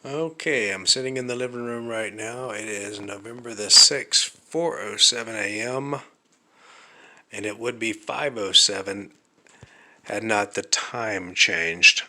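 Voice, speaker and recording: A man thinking aloud in a casual vlog, speaking slowly with many pauses and fillers, his voice slightly raspy from fasting. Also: on the low side, pushed and bright. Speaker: vlogger. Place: living room of an apartment at night.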